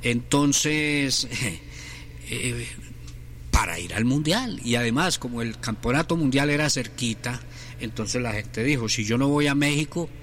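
A man's voice: mid-range voice, measured pace, a bit bright, a little hiss or hum. A middle-aged man, hosting a sports radio show in a rambling, joking, chatty way and reading out sponsors. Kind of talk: casual radio talk with sponsor reads.

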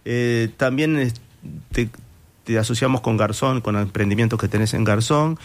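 A male interviewer with a Uruguayan accent talks in an informal, conversational way. He is wordy, thinking out loud and reworking his phrases as he goes, with a middle-range voice. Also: mid-range voice, normal speed. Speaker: interview host.

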